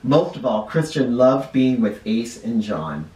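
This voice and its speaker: A teacher reads a storybook to children with a lively, rising and falling voice. He pauses to explain words along the way. The sound is echoey and picked up from a little distance. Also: mid-range voice. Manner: expressive, stops to explain words to kids. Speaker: first grade teacher reading aloud. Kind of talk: children's read-aloud with asides. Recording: roomy echo, mic at a distance.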